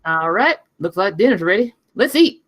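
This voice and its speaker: A woman's voice: mid-range voice, big pitch swings, moderate pace, fairly bright tone. A woman playing a brash, foul-mouthed young mechanic in a screenplay table read, casual, sarcastic and blunt.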